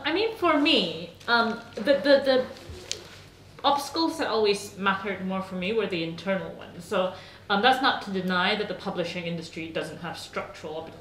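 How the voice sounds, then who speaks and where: A woman's voice: mid-range voice, normal speed, a bit bright. A woman on a festival panel, starting hesitantly, then speaking carefully and with thought. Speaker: panelist, novelist. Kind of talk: panel talk. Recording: echoey room, faint ambience.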